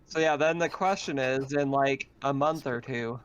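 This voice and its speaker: A man's voice: medium pitch, normal speed, smooth. A man talking casually as game master of an online tabletop roleplaying game. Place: remote online voice chat.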